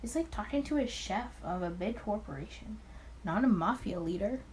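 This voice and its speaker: A woman's voice: medium pitch, expressive, moderate pace, a little mellow, a little hiss or hum, close to an everyday voice. A woman voicing a cautious, baffled male police detective from the 1920s in a visual novel. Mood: doubtful, wary.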